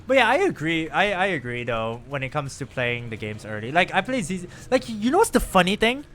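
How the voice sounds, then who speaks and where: A man chatting casually with viewers and reacting out loud while he plays a game on a live stream. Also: on the high side, fairly quick, a little hiss or hum. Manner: chatty, animated, lively reactions while playing.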